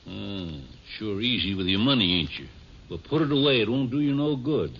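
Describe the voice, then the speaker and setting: Cranky suspicious old guy, husky n swoopy, folksy bad grammar, muffled 50s radio drama. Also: lowish voice, expressive, moderate pace. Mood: grumpy, suspicious. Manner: folksy, ungrammatical drawling dialect. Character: cranky, suspicious old man, rustic and ominous. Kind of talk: 1950s radio drama acting. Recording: muffled 1950s radio recording.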